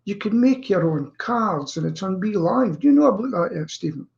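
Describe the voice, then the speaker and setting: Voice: medium pitch, expressive, normal speed, creaky, darkish tone, dull audio. A co-host chatting casually on an online show, telling things in a rambling, anecdotal way over a streaming call. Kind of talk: casual chat. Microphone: live streaming video call.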